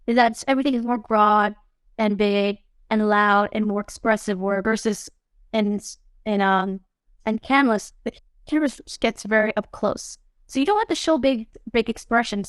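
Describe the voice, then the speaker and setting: Chatty young actress, bright higher voice. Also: female voice, no echo. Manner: chatty, animated, frequent fillers like "you know what I mean". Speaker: actress and interview guest. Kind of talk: casual interview talk. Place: studio podcast set.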